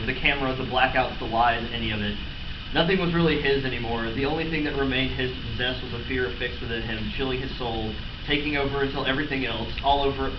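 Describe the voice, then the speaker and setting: A man's voice: mid-range voice, far from the mic. A man reading stories aloud to a group in a playful, mock-dramatic storytelling style. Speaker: reader of fan fiction. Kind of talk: amused live read-aloud of comic stories to a group. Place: echoey room with a laughing group. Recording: noisy, echoey, muffled room recording from afar.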